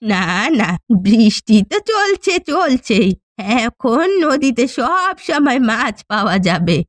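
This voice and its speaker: A woman's voice: a little high, big pitch swings, takes it slowly, no room sound, studio quality. A woman playing a poor, weary old village fisherwoman in a cartoon story, speaking in a plaintive, resigned, folksy way. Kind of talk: Bengali cartoon story dialogue.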